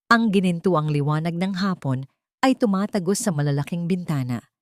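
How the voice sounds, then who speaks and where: A Filipino-accented woman narrates a dramatic first-person story in a low voice. The sound is dry, with very little room to it, and the delivery is that of a scripted audiobook. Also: dry recording.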